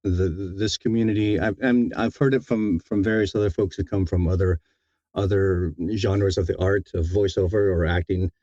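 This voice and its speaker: A man speaking as a guest in a casual interview, in a halting, reflective and sincere way. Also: sits low, steady tone, dark tone, no room sound, lo-fi sound. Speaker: guest, audiobook narrator.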